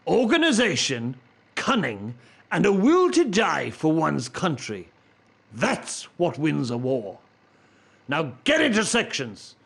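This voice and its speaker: A man's voice: fairly high pitch, wildly swooping pitch, slow, creaky, a bit bright, slightly rough, exaggerated voice. An actor playing a stern, ruthless army officer barking orders at his soldiers in a radio play. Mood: cold, commanding.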